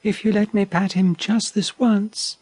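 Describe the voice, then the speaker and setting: Man doing a high, soft, gentle lady wallaby. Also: level tone, a little slow, husky, dry recording. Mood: gentle, pleading. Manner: soft, sweet, pleading. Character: gentle, soft-voiced female wallaby, eager to please.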